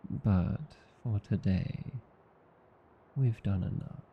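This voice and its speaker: A man with an Australian accent playing a tender, teasing vampire husband in an ASMR roleplay, soothing, coaxing and calmly hypnotic. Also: on the low side, slow, highs cut off, a little hiss or hum. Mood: affectionate, teasing. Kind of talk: ASMR roleplay, slow intimate scripted acting.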